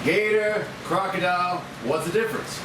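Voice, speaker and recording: A cynical working stiff played by a man, grumbling casually in a slightly high male voice. The table read is picked up from a distance in an echoey room with clear hiss. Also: moderate pace, lightly acted. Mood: grumbling, wry. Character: grumbling blue-collar crane worker, casual and cynical.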